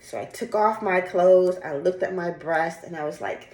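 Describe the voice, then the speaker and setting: A middle-aged woman with a slightly raspy, congested voice, chatting candidly and casually in a rambling personal vlog. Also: on the low side, moderate pace, darkish tone, roomy sound. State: sick with congested cough. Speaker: fitness vlogger. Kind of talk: casual chat to camera, personal update.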